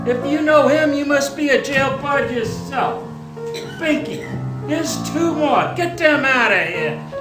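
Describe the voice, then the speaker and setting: A hard-boiled, commanding bar boss played by a woman in a stage radio drama. The voice is high, brusque and full of slangy contempt. It rings in a roomy space over loud music. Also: animated, takes it slowly. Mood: scornful. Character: tough, surly, scornful bar boss. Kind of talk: stage radio play acting.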